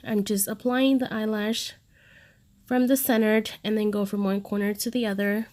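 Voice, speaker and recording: A woman's voice: mid-range voice, gravelly, darkish tone. A woman in her thirties, a makeup artist with a stuffy nose from a cold, narrating a casual step-by-step tutorial voice-over. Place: home studio, recorded separately as voice-over.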